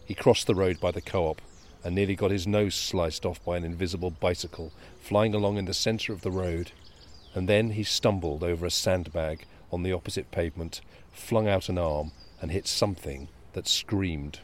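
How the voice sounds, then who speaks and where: A man with a low, deep voice co-hosting a walking podcast. He reads a novel passage in a measured storyteller's way, then chats casually, sometimes quickly. The recording carries a faint background noise. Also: steady tone. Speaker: podcast co-host. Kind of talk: reading a novel aloud, then casual chat.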